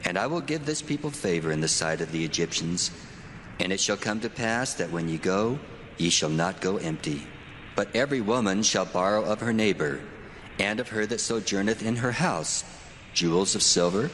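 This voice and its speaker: An actor playing God in a dramatized scripture reading, speaking in a solemn, measured way. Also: male voice, low-pitched, a bit bright, a little hiss or hum. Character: God, deep commanding divine male voice. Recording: long echoing reverb with music.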